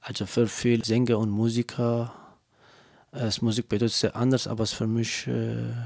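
A Kurdish singer whose first language is Kurdish, speaking hesitant, broken German with many fillers while being interviewed. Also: male voice, medium pitch, little pitch movement, vocal fry, darkish tone, no echo, studio quality. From Kurdish region of Turkey. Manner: halting non-native German with many fillers. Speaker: singer, guest. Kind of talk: interview answers.

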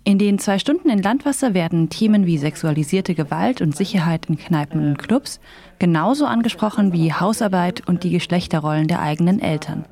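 A woman's voice: on the low side, lively intonation, darkish tone. A woman radio host reading a report and interviewing a guest in the studio. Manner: measured, scripted delivery.

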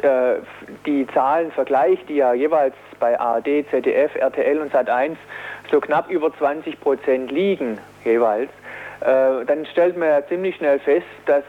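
Through slightly muffled, band-limited radio audio comes a man with a fairly high voice. He answers political interview questions spontaneously, hesitating often and repeating words as he goes. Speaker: Green politician, media policy spokesman.

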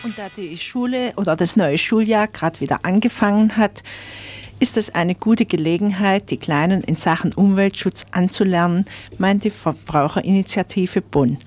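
A woman's voice: medium pitch, normal speed, a little mellow, soft background music. A woman reading a scripted consumer information item as a radio presenter. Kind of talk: scripted magazine news reading.

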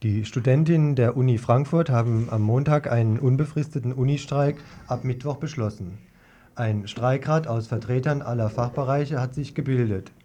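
A man's voice: medium pitch, normal speed, dark tone. A man reading out a scripted political report as a radio news reader.

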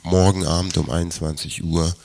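A man with a gravelly, husky voice presenting a scripted radio magazine piece. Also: low-pitched, little pitch movement, normal speed, a little mellow.

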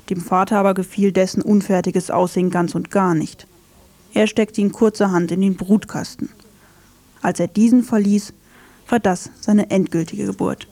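Female radio presenter for scripted literary narration, measured storyteller, dry studio sound. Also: mid-range voice, dark tone, no echo.

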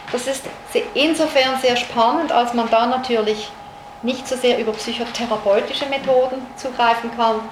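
Female lecturer, Swiss-raised, explanatory, fairly high voice, roomy and noisy recording. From Switzerland. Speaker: psychologist giving a lecture. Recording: echoey room recording with noise.

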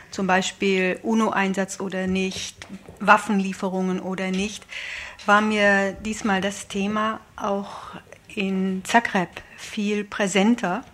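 A woman interview guest speaking earnestly and argumentatively in a radio studio, explaining political views and posing rhetorical questions. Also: mid-range voice. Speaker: interview guest, aid convoy volunteer.

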